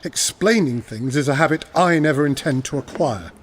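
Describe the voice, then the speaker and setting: An actor playing a genial, idle colonel with a pompous drawl in a radio play. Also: male voice, a little high, animated, moderate pace, husky. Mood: languid, amused. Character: hearty, languid upper-class English colonel, idle and genial.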